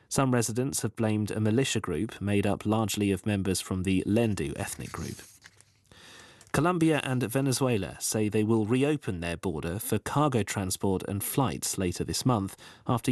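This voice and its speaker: Male news presenter, slightly deep, reading a bulletin in a tight dry studio sound. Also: a little mellow, dry recording.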